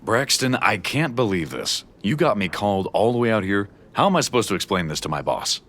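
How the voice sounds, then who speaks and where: A man's voice: lowish voice, very animated, fairly quick, fairly bright tone. An actor playing a harried, stern working father who scolds wearily in an audio drama. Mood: exasperated. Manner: scolding, sighing.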